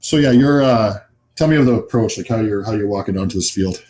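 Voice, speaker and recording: A man with a fairly low voice runs a tabletop roleplaying game in a casual, halting, conversational style. Faint noise and ambience sit behind him. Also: darkish tone. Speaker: game master. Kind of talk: casual, improvised scene description for a tabletop game.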